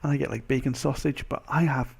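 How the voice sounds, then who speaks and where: A young man with a mild Welsh accent, chatting casually as a vlogger in a rambling, animated, self-interrupting way. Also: medium pitch, quick pace, gravelly, slightly rough. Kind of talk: casual chat to camera.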